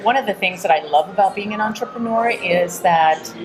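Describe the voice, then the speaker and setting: A woman's voice: medium pitch, a little fast, over music, poor audio. A middle-aged woman from Texas giving earnest, reflective answers as an interview guest. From Texas, United States. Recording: busy crowd chatter behind. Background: crowd murmur.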